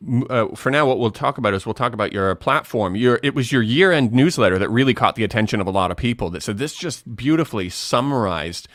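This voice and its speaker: A man's voice: medium pitch, lively intonation, fast talker, few pauses, fairly bright tone, no echo, clean studio sound. A Canadian man with a mild accent, hosting a casual video chat and rambling with many fillers and restarts. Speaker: host.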